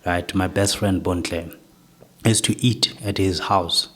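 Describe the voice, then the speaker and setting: A young man from South Africa with a soft voice, reflectively telling his life story as an interview guest, often ending points with "right?". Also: low voice, fairly even intonation, measured pace.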